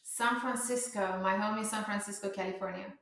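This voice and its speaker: A woman's voice: medium pitch, fairly even intonation, brisk, creaky, fairly bright tone. A woman from Romania in her thirties, a startup founder answering interview questions in a chatty way with many fillers and restarts. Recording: very echoey room.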